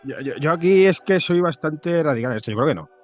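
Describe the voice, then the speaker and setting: A man's voice: fairly high pitch, expressive, fast, muffled recording. Spanish man chatting, faint music behind. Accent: Spain Spanish. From Madrid, Spain. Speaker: podcast guest, journalist and screenwriter. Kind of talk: casual anecdotal chat.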